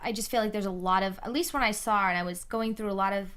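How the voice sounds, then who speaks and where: A woman's voice: medium pitch, fast, a bit bright, clean tone. Woman interviewer, friendly questions, lots of mm-hmms. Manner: encouraging, many affirming interjections.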